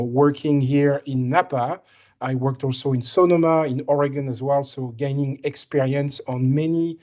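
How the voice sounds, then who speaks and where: A man's voice: mid-range voice, muffled recording. Man with a light French accent. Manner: hesitant, many fillers and restarts. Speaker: winemaker. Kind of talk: casual presentation on a video call.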